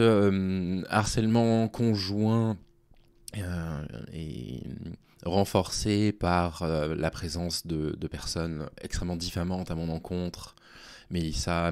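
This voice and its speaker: A man speaking informally to his online audience in a halting, hesitant way, with a worn-out, small, tired voice. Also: deep voice, a little mellow, clear, resonant tone, no echo. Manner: halting, hesitant delivery with long pauses. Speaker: online video creator. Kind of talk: informal talking to audience, explaining personal situation.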